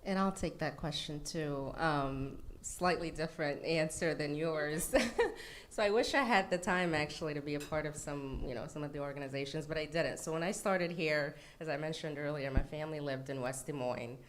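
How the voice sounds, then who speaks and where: A woman from Sudan speaking as an alumni panelist, in a measured, deliberate manner. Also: low-pitched, slight background noise. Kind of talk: panel talk at a keynote.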